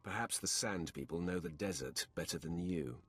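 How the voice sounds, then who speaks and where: An actor playing a Sith warrior and his solemn light-side mirror self in a video game, speaking in a measured, formal, gravelly voice. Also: sits low, fairly bright tone. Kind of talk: video game dialogue acting.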